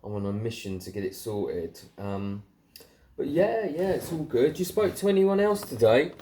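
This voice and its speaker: A man's voice: mid-range voice, expressive, normal speed, warm tone, a little hiss or hum. A man with a southern English accent chatting casually and informally, like a hobbyist making an off-the-cuff vlog. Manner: chatty, informal British slang. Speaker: CB radio hobbyist vlogger.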